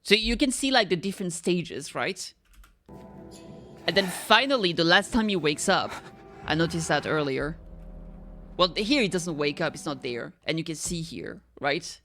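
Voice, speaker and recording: A woman's voice: lowish voice, expressive, normal speed, fairly bright tone, soft background music. A woman giving casual reaction commentary, thinking aloud and often checking for agreement with little tag questions. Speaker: reaction video host.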